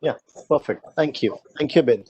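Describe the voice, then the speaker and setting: A man's voice: mid-range voice, moderate pace, darkish tone, a little hiss or hum, poor audio. A man moderating an online law school talk over a video call, speaking in a courteous way with a few fillers.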